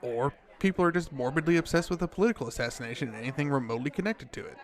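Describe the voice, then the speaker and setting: A man's voice: fairly high pitch, lively intonation, very fast. A man playing a worried, cautious cafe co-worker in an audio drama, speaking in an earnest, pressing way. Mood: dry, earnest.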